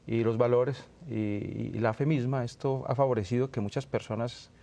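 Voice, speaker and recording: A Colombian man in his thirties with an Antioquia accent speaks in a mellow, relaxed voice at middle pitch. He is a priest answering reflectively, pausing to search for his words.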